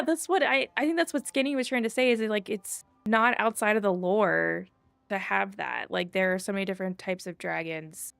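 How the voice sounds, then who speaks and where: A woman's voice: medium pitch, creaky, a bit bright, dry recording. A woman streaming a game, chatting casually and rambling a little as she reads and answers viewer comments. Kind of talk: casual live gameplay chat, reading viewer comments. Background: faint game music.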